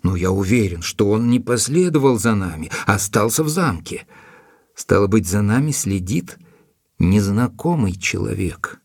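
A determined, level-headed gentleman recounting a mystery in an audio drama. His voice is low and a little husky, closely and drily recorded, and he speaks with deliberate care, posing questions as he goes. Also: lively intonation, no room sound, studio quality. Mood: intrigued, resolute. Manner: measured, deliberate storytelling with rhetorical questions. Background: music behind the voice.

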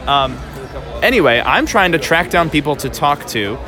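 Male podcast host, fairly high voice, casual and halting, roving interviews with clear music behind him. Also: big pitch swings. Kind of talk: casual on-the-spot convention interview. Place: busy public convention space.

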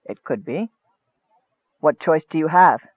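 A man voicing a frail, sickly, bitter dark wizard in a fantasy audiobook, softly rasping and mocking, on a muffled recording. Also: fairly high pitch, lively intonation, no room sound. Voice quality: soft, rasping, breathy. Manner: mocking, cryptic, measured.

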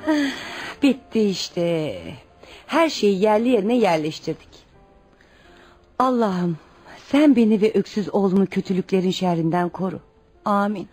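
A woman's voice: mid-range voice, animated, unhurried, pauses often, a little mellow, soft background music, close to an everyday voice. An actress in a radio play playing a poor, devout widowed mother, protective and anxious, who speaks in an earnest, instructive way. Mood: pious, earnest. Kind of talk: radio theater acting.

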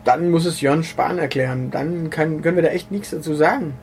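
A man with a somewhat high voice co-hosts a casual comedy podcast. He rambles through deadpan jokes, stumbling over fillers and restarting his sentences. A faint hiss of background noise sits under the recording. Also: a little fast. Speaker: podcast co-host.

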